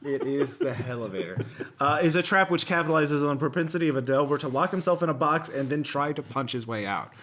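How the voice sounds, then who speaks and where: A man's voice: a little high, animated, fast, a bit bright, slight background noise. A man hosting a podcast in casual conversation, reading game text aloud and commenting on it in an easygoing, chuckling way. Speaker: podcast host.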